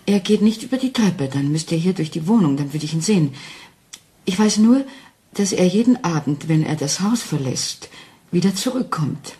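An actress playing a frightened wife who doubts her sanity, speaking anxiously and haltingly in a German crime radio play. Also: fairly low pitch, expressive, warm tone, lightly acted. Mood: haunted, fearful. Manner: anxious, halting, intense, dropping into whispers.

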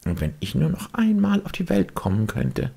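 Casting: naive puppet boy for storybook, very high thin voice, earnest, plaintive. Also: huge pitch swings, normal speed, creaky, warm tone, slightly rough, exaggerated voice. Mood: remorseful, plaintive.

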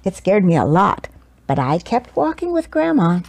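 An older woman reading a children's picture book aloud in an expressive, deliberate and dramatic storytelling manner. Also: mid-range voice, vocal fry. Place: small cosy living room indoors. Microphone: small clip-on lapel mic.

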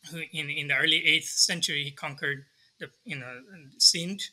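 A man in his thirties from Bangladesh, answering interview questions thoughtfully over a video call from a study lined with books, pausing and restarting often. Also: fairly high pitch, steady tone, sharp tone, rough recording. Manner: thoughtful, many restarts and fillers. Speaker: guest scholar. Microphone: video call webcam.

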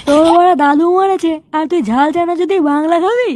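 A whiny, crude comedy cartoon character with an extremely high, squeaky pitch. The delivery is exaggerated and foul-mouthed. The recording is very noisy with clicks behind it. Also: steady tone, quick pace. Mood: whiny, pleading. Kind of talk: exaggerated comic cartoon dialogue.

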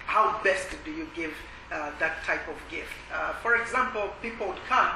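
A man from Malawi sharing his testimony at a church workshop, speaking haltingly with many pauses and filler sounds. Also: on the high side, vocal fry. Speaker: ministry worker. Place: conference workshop room. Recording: echoey room with background noise.

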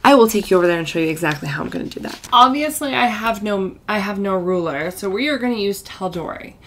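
A woman in her thirties, slightly croaky from a recent illness, chatting casually through a sewing tutorial in a small home room. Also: mid-range voice, animated, fast. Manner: chatty and self-deprecating, with fillers. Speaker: sewing vlogger. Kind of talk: casual tutorial chat to camera.

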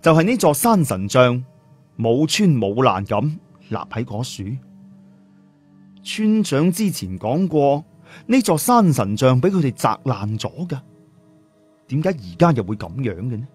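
Husky male storyteller, lively pitch. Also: a little slow, faint music behind. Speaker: audiobook narrator. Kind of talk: dramatic storytelling narration.

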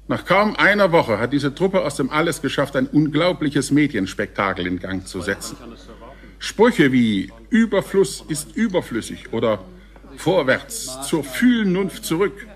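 An actor playing a scornful, alarmed official chairing a crisis meeting, formal and oratorical, in a science fiction radio drama. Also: male voice, medium pitch, close to an everyday voice. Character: scornful, alarmed male crisis committee chief.

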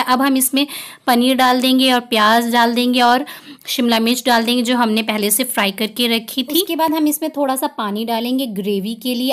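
A woman narrates as a home cook. Her voice sits a little high. She talks in a plain, instructional way, taking the listener through a recipe one step at a time.

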